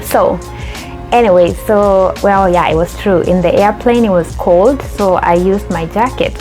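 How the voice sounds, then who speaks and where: A woman's voice: medium pitch, unhurried, a little mellow, soft background music. A young adult woman from Kenya hosting her own vlog, telling a story in a chatty way with lots of fillers and restarts. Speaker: YouTube host.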